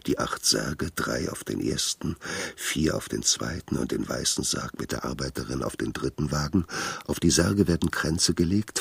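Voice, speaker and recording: A man narrating a literary radio drama, with a gravelly, husky voice and acted asides. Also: very deep voice, no room sound, heavily acted. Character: gravel-voiced male narrator recounting a city story.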